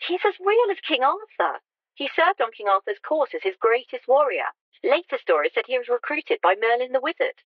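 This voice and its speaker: Eager researcher voiced by an actress, high-pitched and animated, dull phone-speaker filter, husky earnest delivery for audio drama. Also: female voice, a little fast, no echo. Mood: excited. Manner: excitable, earnest. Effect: telephone speaker filter.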